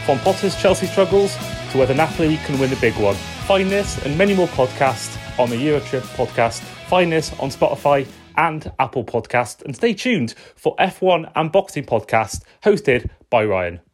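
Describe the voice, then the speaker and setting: A man's voice: on the high side, lively intonation, normal speed, hardly any pauses. A man announcing a podcast promo in a scripted promotional read. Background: guitar music.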